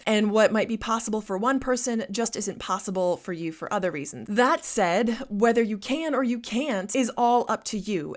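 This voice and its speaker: A woman giving an earnest, encouraging scripted talk about personal finance to her viewers. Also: medium pitch. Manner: earnest and encouraging, steady explaining. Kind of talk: scripted explanatory talk to camera.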